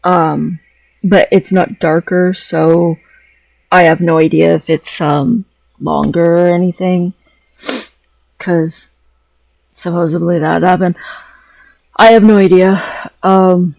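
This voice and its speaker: Man, gravelly creak, casual vlog rambling, halting and repetitive, very dry room sound. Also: high voice, steady tone, darkish tone, no echo, low-quality recording. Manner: hesitant, repeats phrases, trails off mid-thought. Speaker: vlogger.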